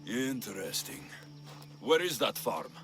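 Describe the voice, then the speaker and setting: An actor playing a stern, world-weary male mercenary of ancient Greece in a video game, measured and serious. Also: fairly low pitch, very animated, vocal fry, heavily acted. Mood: measured, blunt. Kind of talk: dramatic video game cutscene acting. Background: music.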